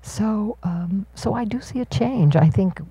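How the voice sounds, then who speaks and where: A woman voicing a live sign language interpretation of a panel talk, halting, with fillers and restarts as she follows the signer. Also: medium pitch, dark tone, husky, no echo, poor audio.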